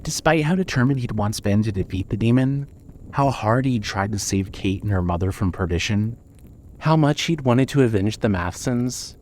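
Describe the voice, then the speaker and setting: A man narrating a scripted dramatic story in a measured, solemn manner. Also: fairly low pitch, lively intonation, darkish tone, a little hiss or hum.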